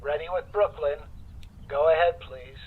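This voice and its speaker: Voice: mid-range voice, moderate pace. An actor playing an impassive, formal long-distance operator in a radio play, clipped and polite, through a telephone filter. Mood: impassive, businesslike. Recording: noisy, tinny phone line with clicks.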